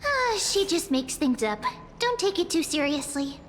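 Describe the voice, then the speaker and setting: A woman's voice: very high-pitched, big pitch swings, measured pace, in a large hall, soft background music, exaggerated voice. A woman voicing a tiny, cheeky, childlike companion creature in a video game. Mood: cheeky, playful.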